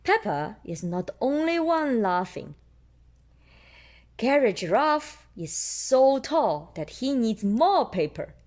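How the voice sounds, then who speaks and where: A woman's voice: on the high side, very animated, slow pace, darkish tone. A woman reading picture books aloud for young children and explaining things simply. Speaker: storybook reader.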